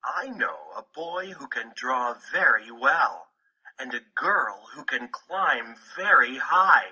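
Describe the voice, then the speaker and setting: A man's voice: mid-range voice, animated, slow pace, sharp tone, husky, rough recording. A man narrating a children's picture book from a script. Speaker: audiobook narrator.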